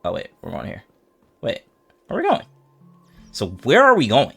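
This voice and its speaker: A man's voice: mid-range voice, huge pitch swings, normal speed, creaky, a bit bright, slightly rough, soft background music. A man streaming a video game, chatting casually in an informal, rambling way as he comments.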